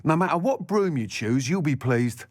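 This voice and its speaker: Chatty fantasy game shopkeeper, higher-pitched, swooping intonation, salesmanlike. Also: male voice, animated, normal speed, dry recording, clean studio sound. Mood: eager salesman. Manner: salesmanlike, talkative. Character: eager, chatty shopkeeper selling magic brooms. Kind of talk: video game character dialogue.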